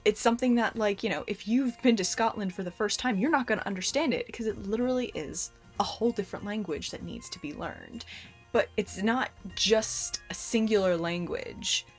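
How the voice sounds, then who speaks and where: A young adult woman with an American accent, talking casually to camera as a host in a chatty, animated way with frequent restarts. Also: mid-range voice. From United States. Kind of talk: casual explanatory talk to camera.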